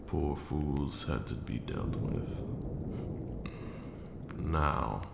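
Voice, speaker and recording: Ancient vampire master male actor: unhurried, courtly, gravel-throated ASMR delivery, stern but caring, in a roomy, noisy recording. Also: full character voice. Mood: calm, lordly. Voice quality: gravelly, very deep. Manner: formal, archaic, measured phrasing. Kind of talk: ASMR roleplay, slow intimate monologue. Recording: roomy echo with audible background noise.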